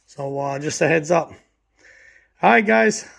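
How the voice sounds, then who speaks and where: A man, hoarse from a cold, hosting a casual product review vlog in a relaxed, folksy way full of fillers. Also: fairly high pitch, a little slow. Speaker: channel host.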